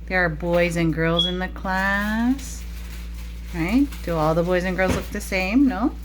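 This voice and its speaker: Low-pitched woman teacher, noisy recording. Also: a little slow. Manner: teacherly, asking frequent questions of young children. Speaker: preschool teacher. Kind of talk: interactive picture-book read-aloud for young children.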